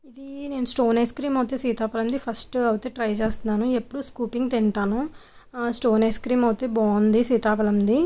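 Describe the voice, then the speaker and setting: Young woman vlogger, casual narration packed with fillers; fairly high, even-pitched delivery. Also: fast talker. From Andhra Pradesh, India. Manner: chatty, filler-heavy storytelling. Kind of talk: casual voice-over vlog narration.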